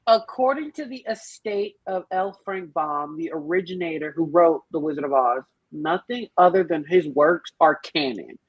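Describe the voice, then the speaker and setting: A woman explaining things emphatically and with salty language in an animated, casual group discussion on a podcast. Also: sits low, normal speed, hardly any pauses, breathy edge, no echo, muffled recording. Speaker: podcast panellist.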